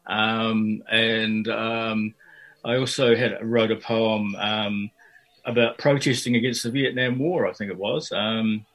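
A man's voice: on the low side, steady tone, takes it slowly, a bit bright. An older man from Fiji and New Zealand, a poet answering in a radio interview, talking hesitantly with many fillers and restarts.

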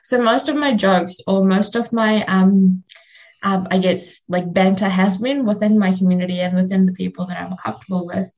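A woman's voice: mid-range voice, steady tone, moderate pace, a little mellow. Woman radio host, native Fiji Hindi speaker. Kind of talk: casual reflective discussion.

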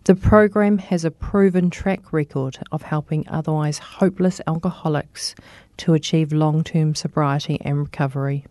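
A woman hosting a radio show, moving from a scripted introduction to encouraging questions for her guest. Her voice sits on the low side. The sound is close and dry on a studio microphone. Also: fairly even intonation, moderate pace, dry recording. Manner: encouraging, warm prompts, reads scripted intro.

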